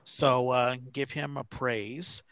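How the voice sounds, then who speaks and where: A man co-hosting a casual talk show, reading out shout-outs and announcements in a halting way as he searches for details. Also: medium pitch, steady tone, smooth, no echo. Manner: halting, pausing to find names and details. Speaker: podcast co-host.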